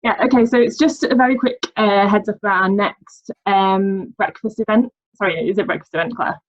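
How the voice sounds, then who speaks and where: A woman from a steering group announcing an upcoming event over a video call, speaking in a hesitant, measured way. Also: medium pitch, no echo, dull audio.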